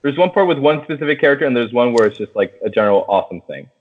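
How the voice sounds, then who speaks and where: A man's voice: medium pitch, animated, very fast. A man hosting a podcast in a casual, enthusiastic, sweary chat about a film, full of filler words. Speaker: podcast host. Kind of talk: casual movie review chat. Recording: thin phone-like audio.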